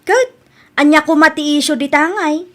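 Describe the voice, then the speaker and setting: Actress as a high-voiced, blunt advising woman. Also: moderate pace, lightly acted. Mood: blunt teasing. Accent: Filipino. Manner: chiding, blunt, teasing. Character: practical, scolding woman giving blunt advice. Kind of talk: Filipino radio comedy-drama acting.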